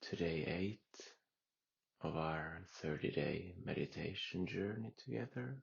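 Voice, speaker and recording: Slow, gravelly low-voiced man guiding meditation. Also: muffled recording. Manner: calm, unhurried, with long pauses. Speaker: meditation guide. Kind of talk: guided meditation.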